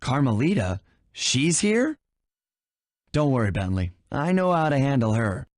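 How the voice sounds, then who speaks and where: A cool young American thief hero in a cartoonish game. He is casual and sure of himself, low-key, with a medium-low voice and dry sound. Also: very animated, unhurried, dry recording. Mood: reassuring. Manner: smooth, unflustered, casual. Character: cool, confident young male raccoon thief.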